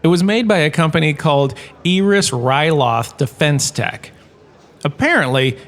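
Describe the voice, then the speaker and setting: A man's voice: on the high side, a bit bright. A man playing an enthusiastic, quirky lecturer, a washed-up star, in a scripted comedic audio drama, chatty and full of showy asides.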